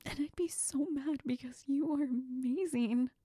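A woman playing a heartbroken, self-doubting young best friend in an intimate audio role-play, tearful and sniffling, speaking haltingly in a near whisper. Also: high voice, fairly even intonation, mellow, dry recording. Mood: tearful, affectionate.

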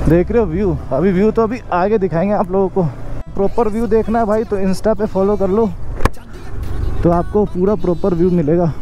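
Give me a high-voiced young Indian man vlogging outdoors. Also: mellow, dull audio. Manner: chatty, addresses viewers as guys. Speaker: motovlogger host. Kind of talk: casual travel vlog chat. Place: outdoors on a hill road by a car. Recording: music over outdoor vlog audio.

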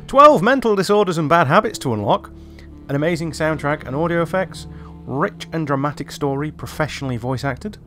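A man's voice: a little high, expressive, fairly quick, sharp tone. A man hosting a gameplay video, commenting and reading aloud in voice-over as a reviewer. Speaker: video game reviewer and host. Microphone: voice-over recorded over gameplay. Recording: music behind the voice.

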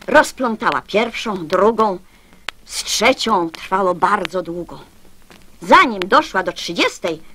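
A woman reciting children's verse as an expressive radio storyteller. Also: mid-range voice, a little hiss or hum.

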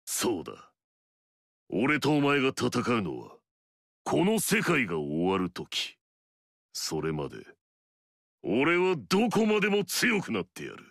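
An actor playing an arrogant, battle-hungry warrior in a video game, curt and scornful with a gravelly edge. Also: male voice, mid-range voice, huge pitch swings, a little slow, pauses often, fairly bright tone, dry recording, clean studio sound, full character voice. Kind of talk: video game story dialogue.

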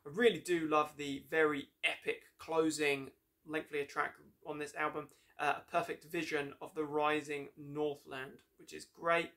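A man's voice: on the high side, little pitch movement, normal speed, fairly bright tone. A young man giving a casual, enthusiastic review to camera, rambling a little and correcting himself as he goes. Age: young adult. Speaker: music reviewer, host. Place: small room lined with shelves, indoors.

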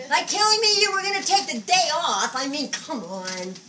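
A woman's voice: high voice, bright, forceful tone, exaggerated voice. Woman acting an exasperated elderly psychic, wildly swooping voice. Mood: indignant. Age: 70s. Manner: dramatic, exasperated, halting muttering to outbursts. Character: flamboyant, agitated older woman psychic scolding silent spirits. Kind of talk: solo stage monologue. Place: small indoor stage set. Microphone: camera a few metres away. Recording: distant camera recording, noisy room.

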